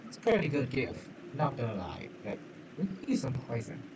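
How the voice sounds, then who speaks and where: A man's voice: mid-range voice, sing-song, moderate pace, background noise. A man giving casual live commentary as a game streamer. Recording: loud game music over voice.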